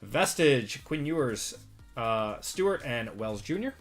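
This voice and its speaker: A man's voice: mid-range voice, slow, soft background music. A man in his thirties from Wisconsin with a Midwestern accent, hosting a live card break stream and chatting with viewers as he reads out cards. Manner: relaxed, chatty, reads out cards and answers chat. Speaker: sports card break host. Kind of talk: live-stream chat while opening cards.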